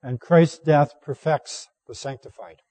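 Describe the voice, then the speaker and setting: A hoarse man preaching a sermon, reading scripture aloud at a measured, deliberate pace. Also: fairly high pitch, darkish tone, dry recording.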